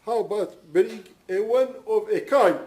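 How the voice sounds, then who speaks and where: A bereaved father reading a prepared statement aloud in a solemn, measured, formal manner. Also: high voice, mellow.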